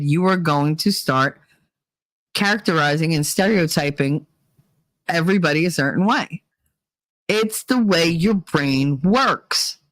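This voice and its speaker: A woman's voice: fairly low pitch, dry recording, rough recording. A woman hosting a vlog, giving blunt, rambling opinions with plenty of swearing. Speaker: vlog host. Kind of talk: casual opinion monologue.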